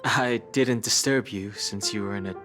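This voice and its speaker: A man voicing a mature, thoughtful gentleman in a video game, calm, polite and deliberate. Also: on the low side. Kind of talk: scripted game dialogue. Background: faint background music.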